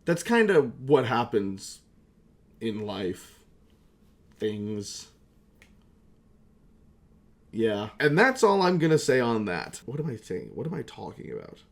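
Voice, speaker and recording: A man's voice: mid-range voice, big pitch swings, slow pace, long pauses. A young man chatting casually as a YouTuber, rambling and self-mocking, with some swearing. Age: young adult. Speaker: YouTuber and amateur voice actor. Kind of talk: casual comedic vlog chat.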